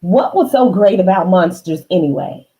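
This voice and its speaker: A woman reading a children's storybook aloud, unhurried, with dramatic emphasis and chatty asides to the listener. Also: lowish voice, expressive, mellow, slightly rough.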